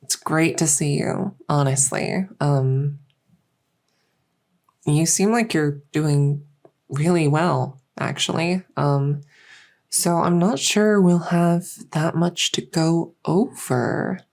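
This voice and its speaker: Woman playing a low-voiced, unhurried, gentle doctor. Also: gravelly, full character voice. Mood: friendly, reassuring. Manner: hesitant, reassuring, with frequent pauses. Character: gentle, reassuring female doctor in a fantasy transition clinic. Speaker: roleplay audio performer. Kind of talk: soft-spoken medical roleplay ASMR.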